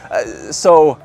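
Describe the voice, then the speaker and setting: A man in his forties with a Midwestern American accent, a pastor giving an online sermon to camera in a conversational way. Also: dark tone. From Nebraska. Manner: conversational, chuckles, repeats words when restarting. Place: outdoors beside a brick building. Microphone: camera at close range.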